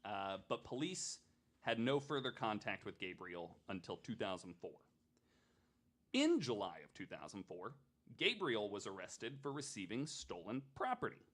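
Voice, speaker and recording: Male podcast host, on the low side, relaxed conversational true crime narrator, informal and jokey. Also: moderate pace. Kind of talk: casual true crime storytelling chat.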